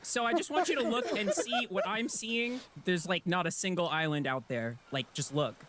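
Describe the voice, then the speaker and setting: A woman's voice: fairly low pitch, lively intonation, normal speed, background noise, natural voice. A woman voicing a sarcastic, exasperated young game character, casual and incredulous, in an improvised comedy fan dub. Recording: loud game music behind.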